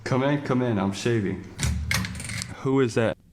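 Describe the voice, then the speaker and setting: A man's voice: medium pitch, a little slow, over music, lightly acted. A man playing a curt, casual, selfish man back from a long absence in a radio drama. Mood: offhand, cool. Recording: faint noise and clicks.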